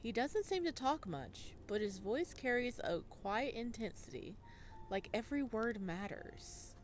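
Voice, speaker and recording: A woman with a fairly high, very animated voice plays through a visual novel. She reads at an easy, slow pace and reacts playfully. There is faint noise in the recording. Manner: playful, expressive, giggly. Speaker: video game streamer.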